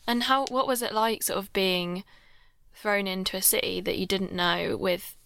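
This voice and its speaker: Woman interviewer, gravelly voice, dry close mic, encouraging. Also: medium pitch, steady tone, normal speed, fairly bright tone, no room sound. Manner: encouraging, many short backchannels. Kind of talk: casual interview questions.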